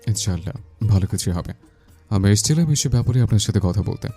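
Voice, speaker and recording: A man plays an educated young landlord's son in a romantic audio drama. His voice is deep and mellow, with a polite, earnest composure. Faint music sits behind it. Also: lively intonation, fairly quick, breathy edge. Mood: earnest, resolute. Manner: respectful, composed.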